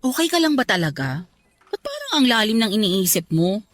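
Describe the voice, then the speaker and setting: A woman's voice: on the high side, wildly swooping pitch, fairly quick, dry recording, studio quality. A Filipino woman playing a chatty, clingy young bride-to-be in a Tagalog radio romance drama, gushing and teasing. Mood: friendly.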